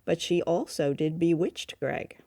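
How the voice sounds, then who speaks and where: Mellow, closely recorded woman co-host. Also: deep voice, steady tone, a little slow, vocal fry, dry recording, clean studio sound. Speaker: co-host of an old-time radio review show. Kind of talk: casual review chat, informative.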